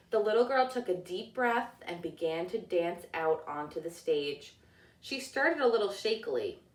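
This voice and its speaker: A woman narrating a children's storybook as a teacher reading aloud, with deliberate, expressive delivery. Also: medium pitch, distant mic. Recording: echoey room, away from the mic.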